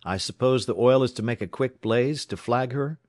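A man's voice: mid-range voice, moderate pace, a little mellow, dry recording, studio quality, voice pushed up, close to an everyday voice. A man voicing a plucky, cheerful young railway tower operator, matter-of-fact and game, in an audiobook story. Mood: willing, brisk.

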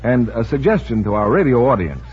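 A man announces a scripted 1940s radio advertisement with lively intonation. His voice is very husky, at a middle pitch, and the recording is dull. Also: a little fast. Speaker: announcer reading sponsor's advertisement.

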